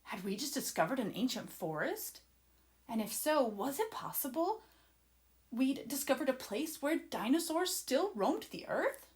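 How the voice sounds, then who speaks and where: A woman voicing an excited, adventurous young boy who eagerly and dramatically narrates a children's storybook to his listeners. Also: sits high, measured pace. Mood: excited storytelling. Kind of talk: children's storybook read-aloud.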